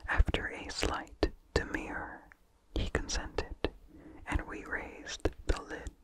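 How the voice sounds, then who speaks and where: A person reading a vintage horror story as ASMR in an unvoiced whisper, slowly and deliberately, in short phrases with pauses. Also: rough recording. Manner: slow, deliberate, pausing between short phrases. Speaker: narrator.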